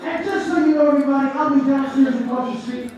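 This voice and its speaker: High-voiced man announcing, very echoey. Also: fast talker, a crowd in the background, background noise. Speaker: studio audience announcer. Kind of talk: public announcement to studio audience. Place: large TV studio with audience. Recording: distant, very echoey studio, audience behind.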